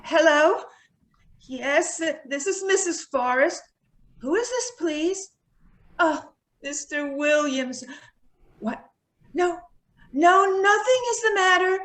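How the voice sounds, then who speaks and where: An actress in an amateur old-time radio play, voicing a frightened older aunt who pleads and stammers. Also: female voice, very high voice, expressive, takes it slowly, pauses often, fairly bright tone, exaggerated voice. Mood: nervous, polite. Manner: fluttery, pleading, hesitant.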